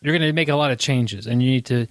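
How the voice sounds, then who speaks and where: Podcast host with a dry sound. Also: male voice, medium pitch, dry recording. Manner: thoughtful, halting, many restarts. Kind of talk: casual interview chat.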